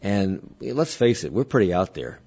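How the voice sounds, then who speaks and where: A man hosting a radio talk show, asking slow, deliberate questions and reading listener comments. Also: on the low side, sing-song, warm tone, highs cut off. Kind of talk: interview discussion.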